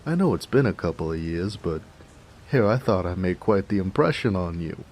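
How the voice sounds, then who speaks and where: An actor playing a jovial, affectionate big 1920s mobster with an American accent, in a folksy, teasing noir audio drama. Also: male voice, deep voice, expressive, normal speed, darkish tone, a little hiss or hum. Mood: delighted, exclaiming. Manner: folksy, teasing, chatty, chuckling. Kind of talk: noir romance audio roleplay, 1920s gangster slang. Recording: faint rain ambience behind voice. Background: rain.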